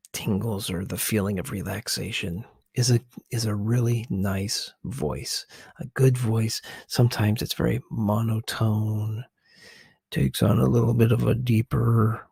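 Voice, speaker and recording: A man giving soft, unhurried ASMR commentary as a video host, hesitant and sometimes slipping toward a whisper. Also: lowish voice, creaky, husky, no room sound, studio quality.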